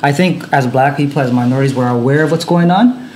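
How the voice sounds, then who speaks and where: A man's voice: medium pitch, fast, darkish tone, a little hiss or hum. Man panelist, earnest and emphatic, echoey room. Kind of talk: casual panel discussion.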